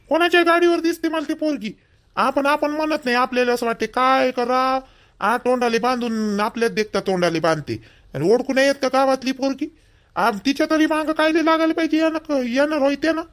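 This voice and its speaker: Man playing a high-pitched, opinionated village son. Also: brisk. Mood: disapproving. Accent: Vidarbha Marathi. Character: opinionated, protective young married village son. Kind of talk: homemade cartoon family dialogue.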